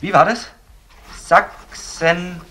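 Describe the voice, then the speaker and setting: An actor playing a wary, flustered man on a phone call in a crime radio play, halting and stammering impatiently. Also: sits high, fairly even intonation, sharp tone, slight background noise, heavily acted.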